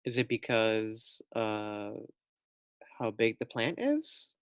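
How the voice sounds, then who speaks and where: A man reading a children's storybook aloud expressively as a teacher, pausing to put questions to young listeners. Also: on the low side, lively intonation, clean tone, dry recording.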